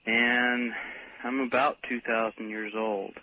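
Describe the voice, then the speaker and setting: A man calling a radio show, speaking in a halting, apologetic way. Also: mid-range voice, little pitch movement. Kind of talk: casual phone-in conversation. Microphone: telephone. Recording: tinny noisy phone line.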